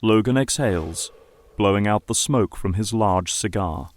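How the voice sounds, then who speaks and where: A man's voice: lowish voice, a little slow. A man reading scripted narration for an audio drama.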